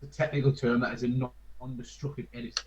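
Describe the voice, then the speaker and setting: Brief: male co-host, mid pitch, dry jokes, roomy echo on dull call audio. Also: fairly quick, a crowd in the background. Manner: joking, adds technical asides, calls himself monotone. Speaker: co-host of online workshop. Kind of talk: casual co-hosting banter.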